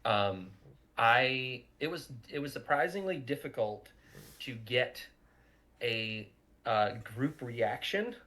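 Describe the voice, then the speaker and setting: A man giving casual behind-the-scenes commentary, halting and repeating words as he thinks aloud. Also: medium pitch, lively intonation, sharp tone, poor audio. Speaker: audio drama creator giving commentary.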